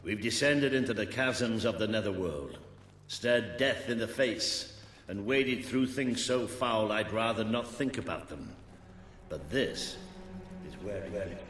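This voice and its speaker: An older British actor's role in a game: a man playing a gruff, hearty old soldier mentor, blunt and wry. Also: lowish voice, animated, unhurried, a bit bright, soft background music. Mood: grim. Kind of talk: video game cutscene acting.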